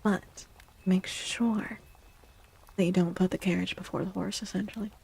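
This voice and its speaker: A woman speaking softly and gently in a breathy, half-whispered ASMR style, like a livestreamer, often repeating phrases. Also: medium pitch, fairly even intonation, moderate pace. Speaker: streamer and tarot reader. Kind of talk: soft-spoken ASMR livestream with casual chat.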